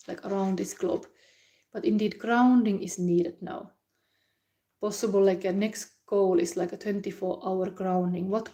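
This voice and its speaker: A woman with a Finnish accent, a non-native English speaker, giving a calm, unhurried psychic reading and chatting loosely with viewers, using many fillers. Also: medium pitch. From Finland. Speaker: psychic card reader. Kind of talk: live psychic reading and comment chat.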